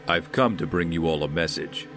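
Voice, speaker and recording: An actor playing a grim masked vigilante in an audio drama, making cold, commanding, ominous pronouncements. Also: male voice, low-pitched. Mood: cold menace. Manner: slow, deliberate, ominous pronouncements. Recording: dramatic scary music behind.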